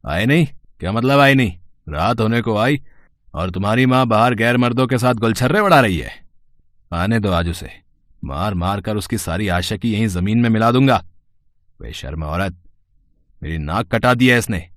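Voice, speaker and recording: A man's voice: on the low side, moderate pace, pauses often, no echo, clean studio sound, lightly acted. A man playing a drunk, abusive village husband and father in a melodramatic audio story, suspicious and menacing, with slurred, bullying rambling. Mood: angry, accusing.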